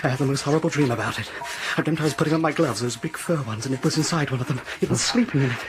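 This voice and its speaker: An actor playing an anxious, squeamish gentleman, stammering and aghast, in a radio horror drama. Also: mid-range voice, very fast, lightly acted. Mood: appalled. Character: horrified, squeamish English gentleman companion, anxious and pitying. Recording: very noisy old radio drama recording.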